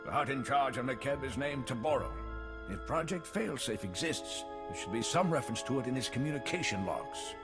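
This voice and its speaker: An actor playing an anxious civilian official in a video game, earnest and placating in manner. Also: male voice, medium pitch, expressive, normal speed, long reverb tail, over loud music, lightly acted. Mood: focused. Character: anxious male civilian official, pleading and pragmatic appeaser. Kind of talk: scripted game acting.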